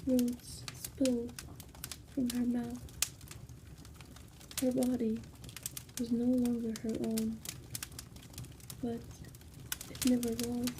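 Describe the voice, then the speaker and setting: A woman narrating a spooky bedtime story in hushed ASMR style, with slow phrasing and dramatic pauses. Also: on the high side, background noise.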